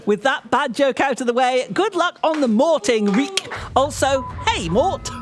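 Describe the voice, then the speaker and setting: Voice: high-pitched, lively intonation, unhurried. A marathon host cheerfully reading a donation and a joke. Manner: upbeat, playful.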